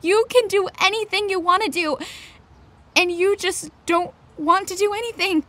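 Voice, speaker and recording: A woman's voice: very high-pitched, moderate pace, a bit bright. An actress playing an anxious, defensive teenage girl in a naturalistic audio drama, with a sarcastic, exasperated edge. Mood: angry, bitter.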